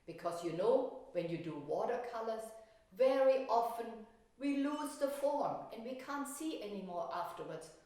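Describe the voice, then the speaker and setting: A woman's voice: a little high, lively intonation, moderate pace, warm tone. A woman teaching a children's painting lesson, explaining step by step in simple words and asking questions. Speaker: art teacher. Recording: very echoey room, distant mic.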